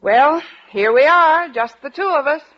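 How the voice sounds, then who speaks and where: An actress playing a wry, lovelorn high school English teacher with dry delivery in a 1940s radio comedy. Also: female voice, animated, measured pace, a little hiss or hum. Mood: dry, hinting. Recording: dull 1940s radio recording, studio audience.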